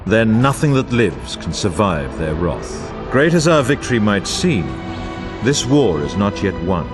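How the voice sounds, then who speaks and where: Over a clear bed of music comes a solemn male chronicler of epic wars. His voice sits low and swings widely in pitch. He narrates a fantasy game with grave, grandiose formality. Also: big pitch swings, a little slow. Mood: solemn, foreboding. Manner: grandiose, portentous, formal delivery. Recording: orchestral music bed under voice.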